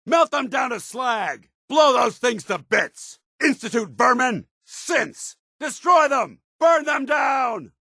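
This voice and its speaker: An actor playing a stern, loyal, idealistic soldier companion in a video game, with a clipped, earnest, authoritative military delivery. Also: male voice, very high voice, lively intonation, slow, gravelly, few pauses, sharp tone, no room sound, shouting, studio quality, heavily acted. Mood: fierce battle shouting. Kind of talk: video game character dialogue.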